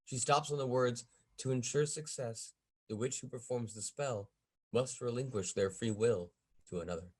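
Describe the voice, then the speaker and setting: A man's voice: mid-range voice, moderate pace, a little mellow, breathy edge. A man reading stage directions as the narrator of a script table read.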